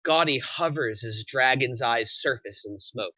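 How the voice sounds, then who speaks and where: A man's voice: medium pitch, moderate pace, bright, forceful tone, no echo, highs cut off. A man narrating the stage directions of a screenplay at a table read, in scripted present-tense style.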